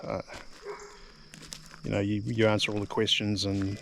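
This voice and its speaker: Rambling New Zealand man, lower voice. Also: completely flat delivery, gravelly, fairly bright tone. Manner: halting, lots of ums and repeated words. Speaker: vlogger. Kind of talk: casual rambling monologue. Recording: faint background noise.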